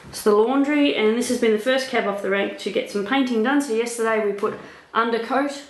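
A woman's voice: a little high, fairly quick, slight background noise. A woman giving a chatty, upbeat walkthrough of a house renovation, filmed on a handheld camera. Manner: chatty, upbeat, full of fillers. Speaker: property investor renovating a house. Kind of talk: walkthrough vlog narration. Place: empty house under renovation, indoors. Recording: echoey bare rooms.

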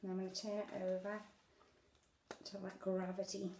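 A woman's voice: mid-range voice, monotone, mellow, a little hiss or hum. A middle-aged British woman casually presenting a hands-on craft demonstration, talking as she works with her hands. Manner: unhurried, talking while working with her hands. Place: indoors at a dining-room table at home. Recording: echoey domestic room.

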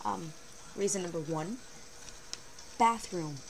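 A teenage girl vlogging from her bedroom, talking casually and animatedly with sarcastic asides in a fairly low voice for a girl. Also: slow. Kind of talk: casual webcam vlog. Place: small bedroom, indoors. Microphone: built-in webcam mic. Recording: noisy low-quality webcam recording.

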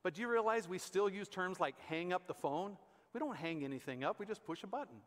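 A man's voice: high voice, expressive, brisk. An American man in his fifties, a pastor preaching conversationally and emphatically from the stage of a large church auditorium. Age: 50s. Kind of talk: conversational sermon. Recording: echoey hall with long reverb.

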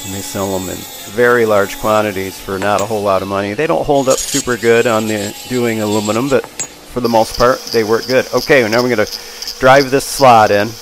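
Older man over clear workshop machine noise. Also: fairly low pitch, steady tone, brisk. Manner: folksy, plainspoken. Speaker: machinist and channel host. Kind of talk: casual workshop narration of hands-on work. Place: machine shop, indoors. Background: machinery and power tools.

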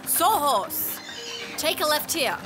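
A woman's voice: high voice, sing-song, takes it slowly, music in the background, full character voice. A woman playing an earnest, resolute young princess in an audio drama. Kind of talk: audio drama acting and narration.

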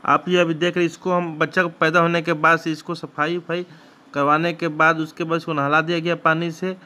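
A man's voice: fairly high pitch, pushed and bright. A man, hosting his own channel and casually narrating footage from his goat shed in a rambling, repetitive way with frequent restarts. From Bihar, India. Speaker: goat farmer and channel host.

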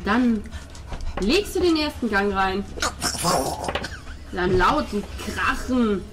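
Woman game master, medium-pitched voice. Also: expressive, takes it slowly, noisy background. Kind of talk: improvised tabletop game narration.

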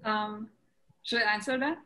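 A woman's voice: medium pitch, steady tone, measured pace, sharp tone. A woman answering questions as a playwright in a casual video call discussion. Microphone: video call microphone.

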